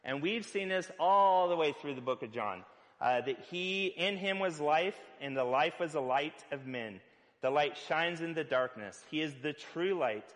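A man preaching a sermon as pastor, loud-voiced and conversational, with filler words and playful asides. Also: on the high side, big-room sound, poor audio.

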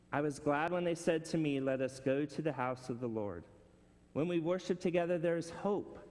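A man's voice: fairly high pitch, a little mellow, big-room sound. A man preaching a conversational sermon to a congregation, asking them questions. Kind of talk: casual sermon.

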